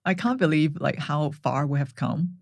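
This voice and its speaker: A woman's voice: deep voice, lively intonation, moderate pace, vocal fry, a little mellow, dry recording. A woman in her forties from Hong Kong, a native Cantonese speaker, chatting earnestly in an interview with frequent "um" and "like". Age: 40s. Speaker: guest, brand founder. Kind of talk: casual interview chat.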